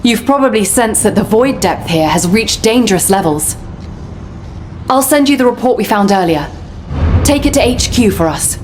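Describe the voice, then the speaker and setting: An actress voicing a brisk, commanding female investigator in a video game. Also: medium pitch, expressive, close to an everyday voice. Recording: roomy, distant, loud game music.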